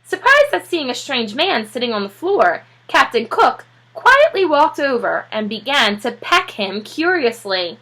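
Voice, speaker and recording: A woman narrating a children's storybook aloud like a teacher, with expressive delivery. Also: sits high, a little slow, few pauses, rough recording.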